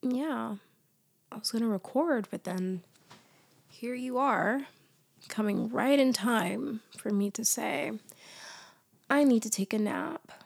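Young woman, ASMR girlfriend role; soft and close-miked, slightly high voice, raspy and fading from a cold. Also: takes it slowly, pauses often. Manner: soft, often whispered, drowsy. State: losing her voice, congested.

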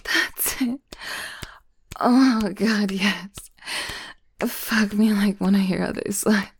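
A woman performing an intimate audio roleplay, whispering and moaning in a teasing, breathy manner. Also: mid-range voice, slow. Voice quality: breathy, husky.

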